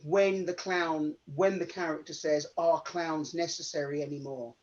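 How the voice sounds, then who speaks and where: A man speaking as an actor in an informal, heartfelt panel Q&A, effusive and halting as he repeats words. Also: fairly high pitch, steady tone. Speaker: actor in a post-show Q&A. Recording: dull, lo-fi sound.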